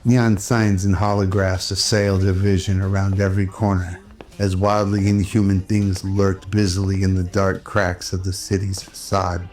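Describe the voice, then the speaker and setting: A man reads scripted radio drama narration. His voice is very deep and stays flat and even from phrase to phrase.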